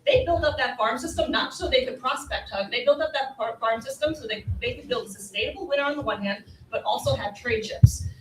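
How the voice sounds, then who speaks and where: A woman talks quickly and emphatically as a sports analyst on a live panel. Her voice carries through an echoey room, a little away from the mic, and she repeats phrases to drive points home. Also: high voice, lively intonation, recorded from a distance, background noise. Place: live stage at a fan convention.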